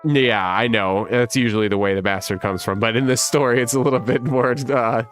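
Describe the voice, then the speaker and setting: A man in his thirties with a rough smoker's voice, casually chatting on a livestream and reading viewer comments, with lots of laughs. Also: mid-range voice, fairly quick, a bit bright, soft background music. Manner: laid-back and rambling, laughs often. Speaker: livestream host.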